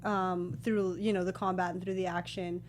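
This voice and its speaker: A woman's voice: on the low side, little pitch movement, normal speed, a little hiss or hum. A woman co-hosting a review show in casual, easygoing conversation. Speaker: show co-host.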